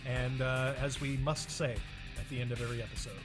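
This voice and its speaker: A man's voice: medium pitch, level tone, a little fast. Male podcast co-host, middle register, casual and appreciative, music underneath. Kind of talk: casual chat.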